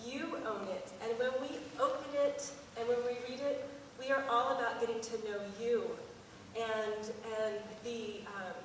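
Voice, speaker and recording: A woman's voice: a little high, fairly even intonation, unhurried. Female panelist, explanatory, earnest, very echoey hall, noticeable noise. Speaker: college admissions officer on a panel. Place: large room at a public panel event. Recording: echoey hall from far off, noisy.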